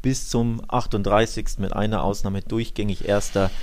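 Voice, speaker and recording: A man's voice: on the low side, fairly even intonation, moderate pace, darkish tone. A man hosting a football podcast, chatting casually and joking, thinking aloud with small fillers. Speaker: podcast host.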